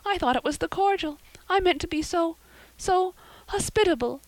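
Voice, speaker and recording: A woman's voice: expressive, normal speed, dry recording. A woman voicing a wailing, melodramatic orphan girl. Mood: pleading, woeful. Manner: high-flown, breathless and wailing. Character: dramatic, tearful orphan girl, about eleven. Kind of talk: audiobook character voice.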